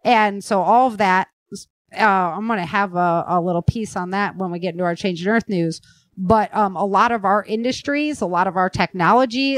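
Casting: American female talk-podcast host, medium pitch, opinionated and emphatic, conversational delivery, dry sound. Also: no echo. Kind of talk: casual talk show conversation.

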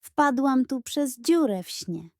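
Woman as a theatrical, high-voiced female giraffe. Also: sing-song, takes it slowly, darkish tone, dry recording, studio quality, exaggerated voice. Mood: mysterious. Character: indignant, dramatic female giraffe in pajamas.

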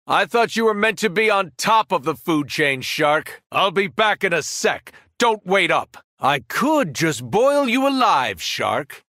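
A fallen alien superhero in a video game, menacing and arrogant. His fairly high, forceful voice swings widely as he delivers cold, mocking threats in a dry, close recording. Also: dry recording. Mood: cold menace. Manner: taunting, cold mockery, grandiose threats. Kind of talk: video game villain voice acting.

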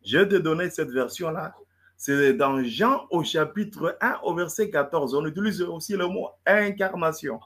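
A man's voice: a little high, moderate pace, a bit bright, slightly rough. A man debating in French, which is not his first language, stammering and repeating words as he lectures. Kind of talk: heated live debate.

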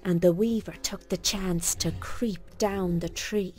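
A woman narrating a bedtime story for children in a folksy, expressive storytelling manner. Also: on the low side, slow, loud music behind the voice.

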